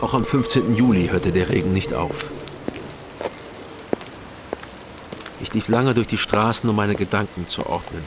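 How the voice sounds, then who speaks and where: An actor playing a watchful investigator who narrates a crime drama soberly and tersely in the first person. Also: male voice, on the low side, a little fast, background noise, natural voice. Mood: brooding. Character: watchful, brooding male narrator-investigator in East Berlin. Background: music.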